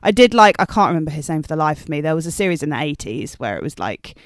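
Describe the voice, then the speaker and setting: Lighter, higher-pitched voice, male, chatting. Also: very animated, quick pace, dry recording, studio quality. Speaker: film podcast co-host. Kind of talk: casual chat.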